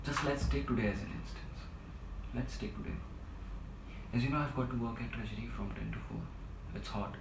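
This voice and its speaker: An actor playing an exhausted, self-pitying middle-aged civil servant in a stage play, pleading and ranting melodramatically. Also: male voice, mid-range voice, fairly quick, gravelly, long pauses, lightly acted. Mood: exasperated complaining. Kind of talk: theatrical stage-play dialogue. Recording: echoey room, distant mic, loud noise.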